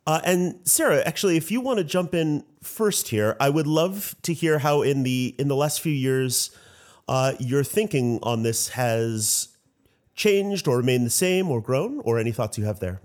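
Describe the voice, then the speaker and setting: A man's voice: mid-range voice, expressive, moderate pace, darkish tone. A man moderating a discussion in a polite, formal manner, partly reading from prepared text. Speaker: roundtable moderator.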